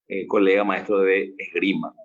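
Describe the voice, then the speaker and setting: A man interpreting live, in a quick and informal manner. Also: sits low, flat delivery, dull audio, crowd noise.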